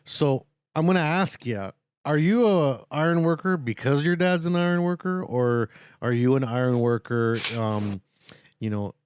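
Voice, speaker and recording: A man's voice: medium pitch, measured pace, a little mellow, smooth, no room sound, studio quality. A man hosting a podcast interview, talking casually and rambling with lots of fillers and chuckles. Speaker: podcast host.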